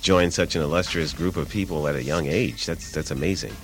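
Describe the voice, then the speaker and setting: A man hosting a radio interview, affable and quick to laugh, with steady murmured agreement while his guest talks. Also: a bass voice, rough recording. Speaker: radio host.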